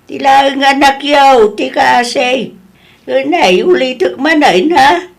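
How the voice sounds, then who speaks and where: A woman's voice: high-pitched, slow, creaky, exaggerated voice. A woman acting an older Ilocano mother in a drama, plain-spoken, advising and consoling her grown child. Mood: gentle, resigned.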